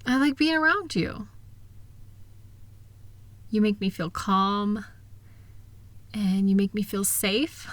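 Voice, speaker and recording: Casting: female actor for a tender, reassuring wife in a comfort audio roleplay; soothing, slow delivery, very dry intimate sound. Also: medium pitch, lively intonation, no echo. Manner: gentle, soothing, affectionate. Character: loving, reassuring wife comforting her spouse.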